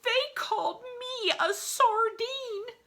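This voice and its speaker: A woman's voice: extremely high pitch, takes it slowly, a bit bright, pitched above the performer's own voice, exaggerated voice. A woman voicing a feisty little male piranha in a storybook, emphatic and punchy. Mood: indignant.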